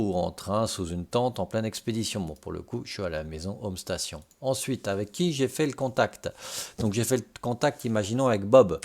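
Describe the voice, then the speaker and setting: A man with a lowish voice presents a software tutorial. He explains each step informally, as if guiding someone at the screen. Short tags punctuate his explanations to check that the listener follows. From Brittany, France.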